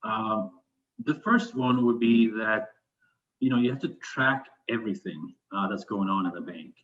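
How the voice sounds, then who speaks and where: A man's voice: fairly low pitch, fairly bright tone. A man from Sweden answering interview questions conversationally, thinking aloud with some hesitation. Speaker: interview guest, business continuity manager. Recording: dull, lo-fi sound.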